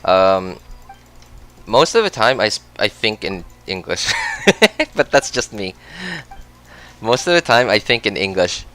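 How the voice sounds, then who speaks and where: Smooth low-voiced male game streamer. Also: very animated, unhurried, pushed and bright. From Philippines. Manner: chatty, laughs often between remarks. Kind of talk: casual live-stream chat, reading and answering chat.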